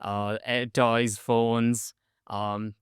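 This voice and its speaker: A man's voice: mid-range voice, little pitch movement, very slow, clean tone, no room sound, studio quality. A young man from India, chatting eagerly as a podcast guest and using lots of "like" and "um". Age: young adult. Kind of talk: casual interview storytelling.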